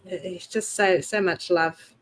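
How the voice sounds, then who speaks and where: A woman joining a casual video call chat. She speaks warmly and affectionately. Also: on the low side, fairly even intonation, a little slow, poor audio. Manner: warm, affectionate. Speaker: guest.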